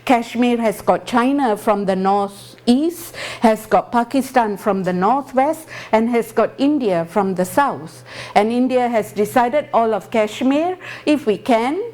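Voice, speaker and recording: Higher-voiced Singaporean woman giving a talk. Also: darkish tone. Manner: earnest, rhetorical questions, tag words like "right?". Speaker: guest speaker. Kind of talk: public talk, explanatory and conversational.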